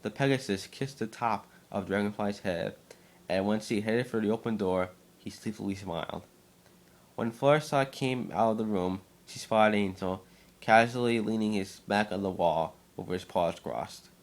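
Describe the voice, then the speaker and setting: A man's voice: lowish voice, moderate pace. A man reading aloud as an amateur narrator, now and then stumbling over his words. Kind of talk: dramatic story reading by an amateur.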